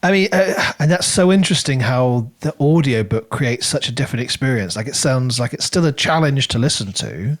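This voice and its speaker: A man's voice: medium pitch, animated, brisk, few pauses. A man co-hosting a podcast, discussing a book in a casual, conversational way and repeating words as he searches for phrasing. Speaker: podcast co-host reviewing a book.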